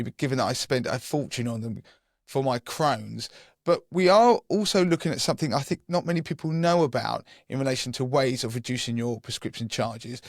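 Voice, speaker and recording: A man whose voice is hoarse and croaky after losing it. He talks casually and explains things step by step, with the odd restart. The recording is close and dry, with no room sound. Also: medium pitch, no room sound. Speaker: podcast co-host, personal finance expert.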